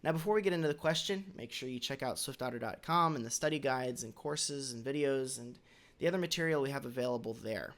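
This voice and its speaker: A man talks through a developer lesson in an easygoing, measured way, his voice still hoarse from a cold. He pauses a little as he goes and clears his throat now and then. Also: medium pitch, a bit bright. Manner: measured, slightly halting, with occasional throat clearing. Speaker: developer educator hosting a weekly question. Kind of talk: casual instructional talk.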